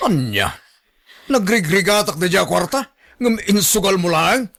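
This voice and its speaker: An actor playing a harsh, angry young husband in a radio drama, with an Ilocano accent. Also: male voice, sits high, sing-song, unhurried, vocal fry, fairly bright tone, exaggerated voice. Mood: furious.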